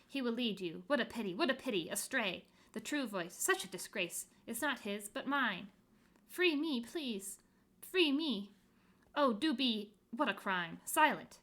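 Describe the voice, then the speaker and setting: A woman doing a shrill snapping spirit face. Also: lively intonation, a little slow, fairly bright tone. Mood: frantic shrill. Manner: frantic, scolding, repeats itself.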